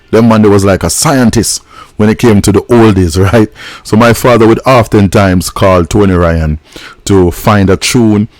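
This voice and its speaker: A man's voice: on the low side, a little mellow, no room sound. A middle-aged man from Jamaica, hosting a music reaction and telling stories in a laid-back, reflective way. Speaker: host of a music reaction channel. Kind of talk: casual reaction and storytelling.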